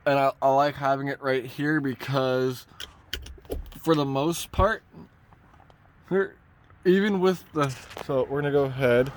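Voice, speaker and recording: A man talks through a do-it-yourself vehicle tutorial in a casual, unscripted way. His mid-pitched voice wanders as he thinks out loud. Faint noise from the truck cab sits behind him. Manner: informal, rambling, thinking aloud. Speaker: vlogger presenting a truck radio install. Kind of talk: casual hands-on tutorial talk. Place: inside a parked pickup truck cab.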